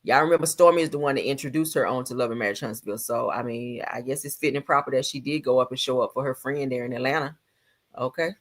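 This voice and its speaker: A woman hosting a gossip livestream, giving casual reaction commentary in a sassy, colloquial way with drawn-out asides. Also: very deep voice.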